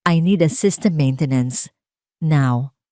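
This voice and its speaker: A woman's voice: deep voice, slow pace, mellow, dry recording. Woman narrator as villainess: wealthy Filipino stepmother, bossy, hot-tempered, clipped commands, dry audio-story recording. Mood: furious, commanding. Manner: curt, bossy. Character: rich, imperious villainous stepmother, angry and commanding.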